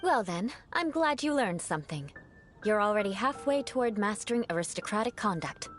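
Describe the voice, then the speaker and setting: A woman's voice: mid-range voice, sing-song, brisk, faint music behind, natural voice. A young woman voicing a haughty, refined aristocratic knight in an anime-style game, with a formal, instructive manner. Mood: composed, instructive.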